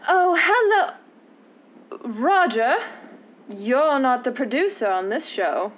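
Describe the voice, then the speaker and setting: Woman doing a theatrical lady in old radio comedy, high voice, takes her time, muffled lofi sound. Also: sing-song, slow, full character voice. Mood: indignant.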